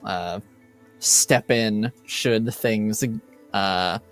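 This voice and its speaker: Man, game master, slow halting narration, smooth clear voice, faint background music. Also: lowish voice, a bit bright. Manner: halting, piecing scenes together slowly. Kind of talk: narrating a tabletop roleplaying game.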